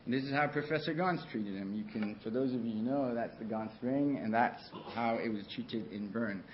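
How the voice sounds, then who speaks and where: A surgeon from Switzerland speaks from a podium in a large conference hall, relaxed and chatty, full of small fillers. The sound is dull and slightly muffled, with a faint hiss behind him. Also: male voice, mid-range voice, moderate pace. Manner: conversational, many fillers and restarts. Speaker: orthopedic surgeon. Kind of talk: conference talk with slides. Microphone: podium microphone.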